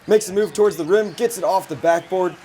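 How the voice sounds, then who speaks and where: Man in his twenties, American accent, slightly high pitch, sports reporting and commentary. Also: fairly quick, dark tone. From United States. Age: 20s. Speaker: student sports reporter and play-by-play announcer. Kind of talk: sports reporting, highlight reads and play-by-play commentary.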